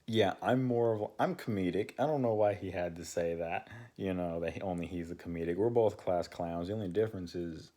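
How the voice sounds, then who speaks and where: A young man with a deep voice, talking casually to his channel's viewers and rambling with many fillers and restarts. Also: fairly quick, hardly any pauses, warm tone. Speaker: channel co-host. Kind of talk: casual talk to camera.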